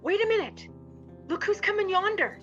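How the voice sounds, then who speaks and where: Woman playing excitable high-voiced rustic Western deputy. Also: normal speed, muffled recording, soft background music. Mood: urgent. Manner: folksy, urgent. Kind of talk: radio Western acting.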